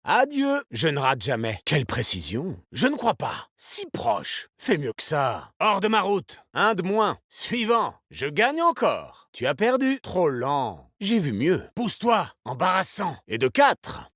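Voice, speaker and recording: A suave, self-assured male agent for a shooter game. His voice sits on the higher side and moves with lively, sweeping intonation. He delivers callouts and taunts with polished courtesy and smug vanity. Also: unhurried, few pauses, slightly rough, dry recording, clean studio sound, exaggerated voice. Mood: smug, taunting. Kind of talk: video game voice lines, tactical callouts and taunts.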